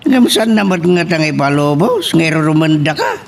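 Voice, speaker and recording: Man acting creaky-voiced, worried old father. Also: on the high side, animated, normal speed. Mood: worried, resigned. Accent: Ilocano. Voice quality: creaky, gravelly. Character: grave, worried old father advising his daughter. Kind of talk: Ilocano audio drama acting. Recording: clear background hiss and noise.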